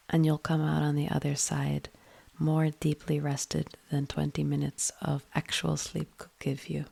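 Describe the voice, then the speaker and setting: A woman leading a guided yoga nidra meditation in a soothing, hushed manner, with phrases often trailing into breath. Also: sits low, vocal fry, breathy edge.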